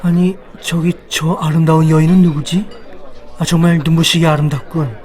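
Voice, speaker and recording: A man's voice: a little high, level tone, moderate pace, a little mellow, some chatter behind, close to an everyday voice. A man playing a lovestruck, courtly and resolute young prince in acted storybook dialogue. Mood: smitten.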